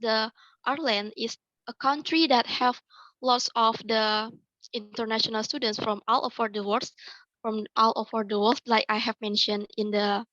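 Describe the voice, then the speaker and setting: A young woman gives an academic presentation. Her voice sits a little high, and she talks hesitantly, repeating and restarting words. The sound is dry and muffled, like a video call. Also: fairly even intonation, normal speed, a bit bright, no echo. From Indonesia. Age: young adult. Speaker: student presenter.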